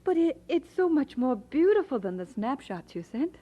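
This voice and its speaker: A slightly dull recording of an affectionate young bride-to-be in an audio drama. Her voice is on the high side and lively, fond and gently teasing. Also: normal speed. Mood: delighted, teasing. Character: affectionate, teasing young bride-to-be who also narrates warmly.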